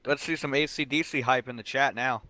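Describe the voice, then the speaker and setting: A man's voice: mid-range voice, steady tone, brisk, sharp tone. A man doing live sim racing commentary as a host, mixing analysis with casual banter.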